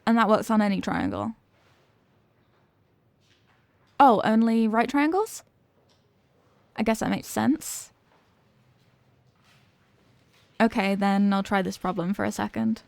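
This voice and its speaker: A woman's voice: medium pitch, very animated, moderate pace, vocal fry, dry recording. A woman playing an evasive, flustered popular cheerleader being tutored, in an acted ASMR roleplay with a hesitant, defensive delivery. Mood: studious, casual. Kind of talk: ASMR roleplay, acted one-sided conversation.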